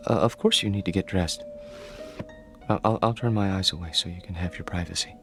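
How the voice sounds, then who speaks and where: Gentle lonely mother's boy, hesitant stammer, polite, fry in a fairly low voice, radio play. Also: brisk. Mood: shy, tender. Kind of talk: radio drama acting. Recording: radio play with soft music underneath.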